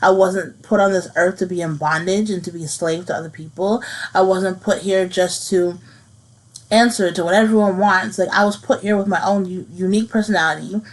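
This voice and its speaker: Woman podcaster, thirties, slightly low pitch, earnest, halting, soft-spoken. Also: steady tone, low-quality recording. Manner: earnest, halting, repeats phrases as she processes. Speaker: podcast host. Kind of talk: personal reflective monologue.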